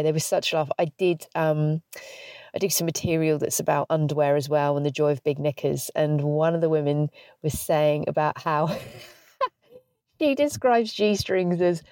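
Woman podcast host, hay-fever stuffy voice, lowish pitch, cheeky chatty tone, dry close sound in a parked car. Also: normal speed, darkish tone, dry recording. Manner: chatty, cheeky and self-deprecating, laughing often. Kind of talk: casual solo podcast chat.

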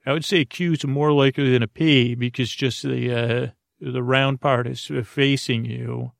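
Gravelly, creaky-voiced man rambling softly to himself. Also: medium pitch, steady tone, moderate pace, no echo, clean studio sound. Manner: hesitant, trailing off and circling back; whimsical, absurdly earnest musing. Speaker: sleep podcast host. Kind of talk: rambling, meandering bedtime monologue.

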